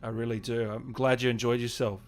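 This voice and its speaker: A man's voice: mid-range voice, little pitch movement. Effusive male livestream host over music. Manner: effusive and hype, with drawn-out shout-outs and frequent thanks. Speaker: livestream host and channel owner. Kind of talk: casual livestream chat, reading out viewer messages. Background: music playing behind the voice.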